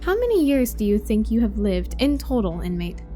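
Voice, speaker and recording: An actress playing an earnest young trainee prison technician in an audio drama, probing and firm in a measured way. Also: female voice, medium pitch, big pitch swings, music in the background, lightly acted. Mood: formal, calm. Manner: measured, formal when questioning.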